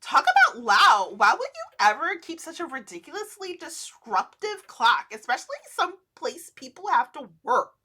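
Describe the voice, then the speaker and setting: A woman reading a visual novel aloud as a gaming creator, expressive and giggly, with gushing casual asides. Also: high-pitched, normal speed, hardly any pauses. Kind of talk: playful let's play read-aloud with casual reactions.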